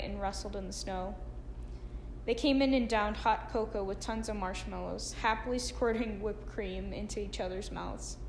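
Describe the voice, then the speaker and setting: Woman reading aloud in an echoey, noisy room. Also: medium pitch. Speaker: narrator reading a memorial tribute. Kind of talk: read-aloud scripted narration. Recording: roomy echo with clear background noise.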